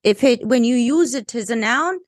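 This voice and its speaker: A woman's voice: a little high, lively intonation, normal speed, dry recording, studio quality. Emphatic woman with an Egyptian accent. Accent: Egyptian Arabic. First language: Arabic. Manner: emphatic, insistent. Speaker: guest analyst. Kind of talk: panel discussion.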